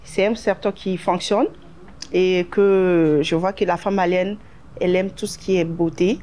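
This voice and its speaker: A woman's voice: on the low side, steady tone, unhurried, warm tone. A woman from Guinea, a beautician, answering interview questions hesitantly and searching for words. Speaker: beautician and salon owner.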